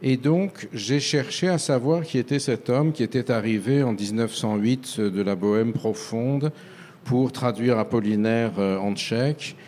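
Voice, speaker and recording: An older French-born man speaks as an author being interviewed, feeling his way through each thought with pauses and restarts. His pitch sits in an ordinary middle range for a man. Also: a little mellow, slight background noise. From France. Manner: halting and reflective, with many hesitations. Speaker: author guest. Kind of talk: radio interview.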